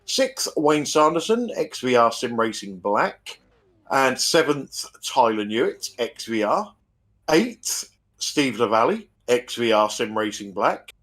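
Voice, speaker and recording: Man calmly calling a sim race. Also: mid-range voice, takes it slowly, poor audio. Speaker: sim racing commentator. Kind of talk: live race commentary.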